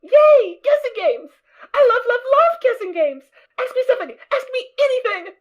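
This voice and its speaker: A woman's voice: very high voice, sing-song, normal speed. A woman voicing a cheerful, hyperactive little filly pony in a fan audio drama, bubbly and childlike. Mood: excited. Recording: dull lo-fi recording.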